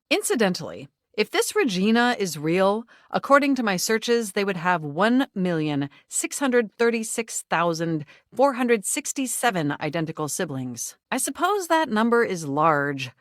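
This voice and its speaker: A woman's voice: mid-range voice, dry recording, clean studio sound, close to an everyday voice. A woman playing a polite, literal-minded desktop computer in a video game, speaking formally and precisely with affection. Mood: informative, fond.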